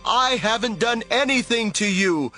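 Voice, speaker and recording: An earnest young fugitive warrior calls out to his king in a dramatized Bible. The voice is very high and forceful, with slow, emphatic phrasing over faint music. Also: expressive, exaggerated voice. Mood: urgent pleading. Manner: shouting from a distance, slow and emphatic. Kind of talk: dramatized audio Bible acting.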